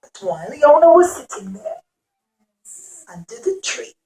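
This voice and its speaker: A woman's voice: sits high, big pitch swings, unhurried, gravelly, warm tone, low-quality recording. A woman telling a puppet-show story to young children, explaining simply and repeating phrases with dramatic emphasis.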